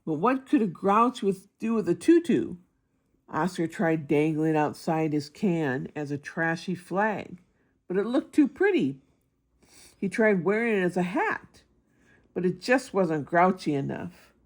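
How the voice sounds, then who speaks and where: A woman's voice: on the low side, animated, measured pace, a little mellow. A woman narrating a children's picture book aloud as a storybook reader.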